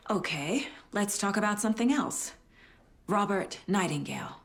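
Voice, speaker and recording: A woman's voice: medium pitch, animated, moderate pace, creaky. An actress playing a composed, serious investigator and mother in a naturalistic video game drama. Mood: composed, probing. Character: composed professional female investigator and caring working mother.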